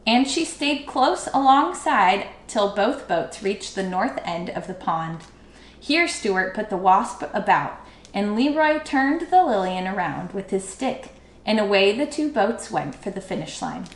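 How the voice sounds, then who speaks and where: A woman reading a children's book aloud expressively, with dramatic, drawn-out emphasis. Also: mid-range voice, big pitch swings. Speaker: storybook read-aloud teacher. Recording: echoey room.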